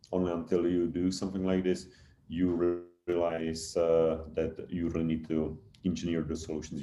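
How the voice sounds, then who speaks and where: A man's voice: extremely low pitch, warm tone, reverberant, slight background noise. A man in his thirties with a Czech accent, speaking as a guest at an online company info session, hesitant with many fillers and restarts. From Czech Republic. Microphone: video call.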